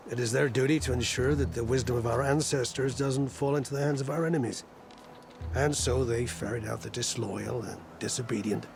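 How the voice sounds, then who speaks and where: An actor playing a stern, weary older admiral in a video game, gravelly, speaking in a grave, formal, measured way. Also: male voice, mid-range voice, noisy background, close to an everyday voice. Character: weary, stern older male fleet admiral, guarded and pessimistic. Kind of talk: video game cutscene acting. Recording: music behind the voice.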